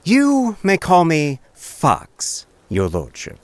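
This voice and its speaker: An actor playing a suave, wily street fiddler with a resonant voice and courtly, flowery, mock-humble speech in an audiobook. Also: male voice, on the high side, wildly swooping pitch, slow pace, no room sound, full character voice. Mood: smooth, courteous. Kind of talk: audiobook character acting.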